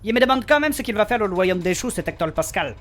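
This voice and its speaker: A man playing a naive, excitable rogue who talks in colloquial, halting questions in a French fantasy comedy audio drama. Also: high voice, slight background noise. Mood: puzzled. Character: slow-witted, excitable male rogue, indignant and questioning.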